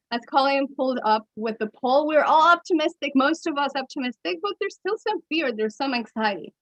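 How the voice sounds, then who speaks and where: A woman with a Mexican accent and a high voice leads a storytelling webinar as a coach. She talks expressively, chuckling between points and shifting her pace. The audio is slightly dull and lo-fi, like a video call. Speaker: keynote speaker and coach.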